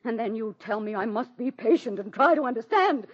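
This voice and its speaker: A muffled 1940s radio drama recording of a proud, embattled president's wife. Her voice is husky, with a formal and emphatic theatrical delivery that carries an anxious edge. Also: on the high side, expressive, brisk. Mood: distraught, angry.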